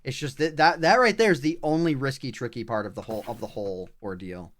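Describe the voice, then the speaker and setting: A guy on a gaming livestream muttering his way through practice in a medium-pitched voice, with mouse clicks and some noise underneath. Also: fast, fairly bright tone. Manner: thinking aloud in short, fragmented remarks while playing. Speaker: video game livestreamer. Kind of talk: casual live gameplay commentary. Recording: clear background noise, clicking.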